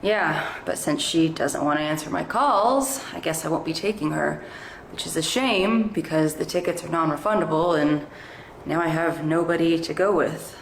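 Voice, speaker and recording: A woman playing a mild, downhearted jilted man in a comedy skit, subdued and halting. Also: low-pitched, echoey room, a little hiss or hum, pitched below the performer's own voice, poor audio.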